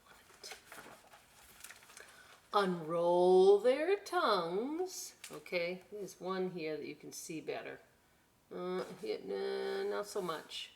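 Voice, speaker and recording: A woman hosting a storytime for young children, reading expressively with drawn-out words and chatty, encouraging asides. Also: fairly low pitch, animated, vocal fry, a little mellow. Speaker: children's library storytime host.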